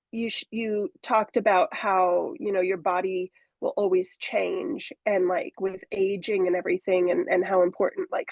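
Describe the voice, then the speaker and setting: A woman's voice: mid-range voice, no echo. Reflective woman in her forties, dry sound. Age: 40s. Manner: reflective, halting, many fillers and restarts. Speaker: coaching client. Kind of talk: candid conversational interview.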